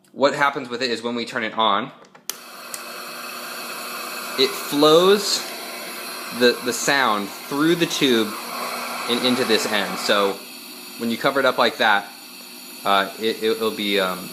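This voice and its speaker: A man giving an informal instructional demonstration as a musician, explaining step by step with hesitant pauses mid-phrase. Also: mid-range voice, bright, forceful tone, slight background noise, poor audio.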